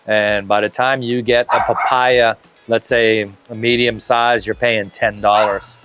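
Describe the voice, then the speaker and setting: A man's voice: on the low side, measured pace, over loud music. A man in his fifties, speaking casually and at length in an interview, with fillers and restarts. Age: 50s. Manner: relaxed, talkative, lots of fillers and restarts. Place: outdoor covered patio, tropical garden.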